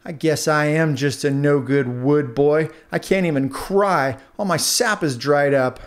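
A man's voice: a little high, a little slow, pitched above the performer's own voice, natural voice. A man voicing a humble, friendly popsicle stick in a children's storybook, earnest and naive. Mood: dejected.

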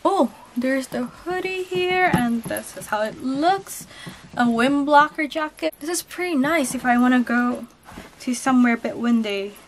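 A young woman with a cold, chatting excitedly as she unboxes and shows clothes in a haul video. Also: high-pitched, measured pace, a little hiss or hum. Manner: chatty and excitable, chuckles at prices. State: has a cold. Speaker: channel host unboxing a lucky bag. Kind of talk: casual haul vlog voice-over. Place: indoors at a table.

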